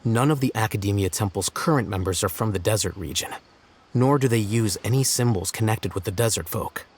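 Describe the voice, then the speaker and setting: A dry deadpan young judge. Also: male voice, lowish voice, brisk, breathy edge. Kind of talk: game voice acting.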